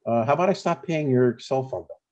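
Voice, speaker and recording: A man's voice: medium pitch, brisk, a little mellow, lo-fi sound, lightly acted. A man playing a chatty, stubborn older father in a comedy table read, in a conversational, mildly needling way.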